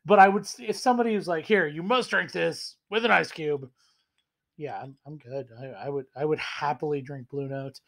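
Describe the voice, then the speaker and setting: A man in his thirties with a cold, hoarse and rambling as he tastes and reviews whiskey in a casual home vlog. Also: a little high, moderate pace. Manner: rambling, self-deprecating, enthusiastic. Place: small room indoors at home.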